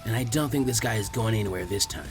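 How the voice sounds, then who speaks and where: A man's voice: fairly low pitch, fast talker, soft background music, voice pushed down, lightly acted. A man voicing a lazy, bored, sardonic teenage ninja boy who grumbles. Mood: smug calm.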